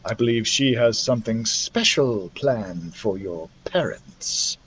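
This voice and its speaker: A man's voice: lowish voice, lively intonation, slow, a bit bright, slight background noise, pitched below the performer's own voice, low-quality recording. A man voicing a smug, pompous storybook villain with mock-polite menace, bent on revenge. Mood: gloating, menacing. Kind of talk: storybook character voice.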